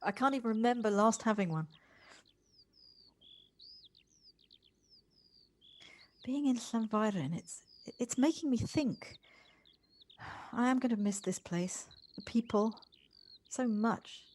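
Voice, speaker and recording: A fairly high female voice from an actress plays a wistful city woman in an audio drama. She speaks gently and haltingly, repeating words as she searches for what to say, in a natural, understated style. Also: expressive. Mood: wistful, hesitant.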